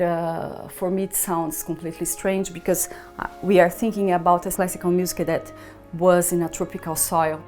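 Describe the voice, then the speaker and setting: Italian-Brazilian-accented woman conductor, low voice. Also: darkish tone. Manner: thoughtful, searching for words, hums rhythms. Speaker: orchestra conductor. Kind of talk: promotional interview talk.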